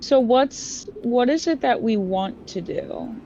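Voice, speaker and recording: A woman's voice: on the high side, lively intonation, takes it slowly, mellow, dull audio, a little hiss or hum. A woman chatting casually as a player in a tabletop roleplaying game.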